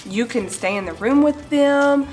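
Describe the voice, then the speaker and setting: A young woman in her twenties with a cold, a little raspy and nasal, chatting to camera about her babies in a casual home vlog, gesturing as she talks. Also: high-pitched, a little slow, a little mellow, soft background music. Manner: chatty, gestures with hands while explaining. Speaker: mom vlogger. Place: bright living room indoors.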